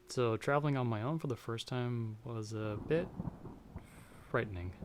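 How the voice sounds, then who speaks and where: A young farmer, played by a man, mid-pitched and politely formal, tells his story in a fantasy audio roleplay. He is timid and easily scared, choosing his words with hesitation. A faint wash of stormy ambience sits behind his voice. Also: a little mellow, slight background noise, close to an everyday voice. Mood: nervous, polite. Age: young adult. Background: stormy night ambience.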